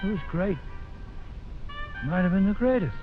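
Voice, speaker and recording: An actor playing a wistful, slangy jazz pianist in a vintage radio drama, reminiscing in plain, drawn-out phrases. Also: male voice, high voice, expressive, faint music behind. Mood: wistful, reflective. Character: wistful, slangy male jazz piano player. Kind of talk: 1940s radio drama acting. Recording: tinny old radio broadcast.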